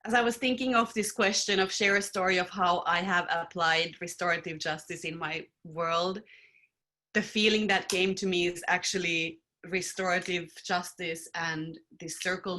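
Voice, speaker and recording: A woman raised in Finland, speaking as a panelist in a thoughtful, earnest and measured way. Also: on the low side, pushed and bright. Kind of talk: reflective panel talk.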